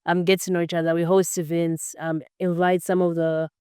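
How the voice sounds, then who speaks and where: A woman's voice: lowish voice, flat delivery, fairly quick, warm tone, smooth, dry recording, studio quality. Earnest young woman, podcast guest. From Zambia. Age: 20s. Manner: earnest, explanatory, frequent "um" and "basically". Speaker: podcast guest, computer science student. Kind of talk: casual interview answers.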